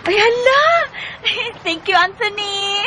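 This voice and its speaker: A woman's voice: extremely high pitch, takes it slowly, very noisy. A woman with a Cebuano accent playing a chatty, teasing co-worker and matchmaking friend in a radio drama. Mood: playful, excited. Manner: gossipy, coaxing. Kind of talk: Bisaya radio drama acting.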